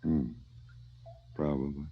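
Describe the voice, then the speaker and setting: An actor playing a wry, fatalistic cop in a 1970s radio drama, drawling and mocking. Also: male voice, rumbling low, level tone, takes it slowly, slightly rough, full character voice. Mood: sardonic, resigned. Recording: slightly dull 1970s radio recording.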